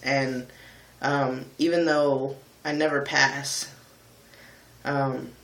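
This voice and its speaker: A man talking candidly in a casual vlog, thoughtful and pausing often with ums. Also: on the high side, a bit bright, echoey room, rough recording. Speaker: vlogger and singer. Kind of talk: casual reflective vlog talk.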